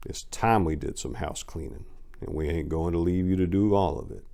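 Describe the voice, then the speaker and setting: A man's voice: low-pitched, moderate pace, creaky, mellow. A man voicing a laid-back, persuasive Southern crew leader with a Southern US accent in a crime story. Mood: confiding, easygoing. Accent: American South. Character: smooth-talking Southern crew leader, amused, folksy.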